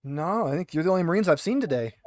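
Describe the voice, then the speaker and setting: A man acts out a guarded woman bartender in her late twenties for a tabletop game. The speech is informal and halting, with stammered restarts, in a slightly raised, dry-sounding voice. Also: fairly high pitch, lively intonation, darkish tone, no echo, natural voice. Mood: wary.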